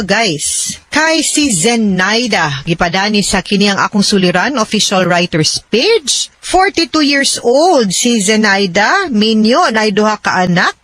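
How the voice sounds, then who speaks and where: A Cebuano Filipino woman hosts a radio advice segment in a conversational, explaining way. Her voice sits at a middle pitch and sounds dry and close. She chats along, slipping into English and adding little tag questions. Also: fairly bright tone, no room sound. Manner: chatty, mixes in English phrases, checks with tag questions. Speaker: radio legal advice host, lawyer.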